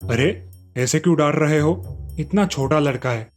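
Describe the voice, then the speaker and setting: A man voicing a kindly village grandfather with a gentle, reassuring manner in an animated fairy tale. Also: a little high, normal speed, soft background music, lightly acted. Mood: kind, soothing.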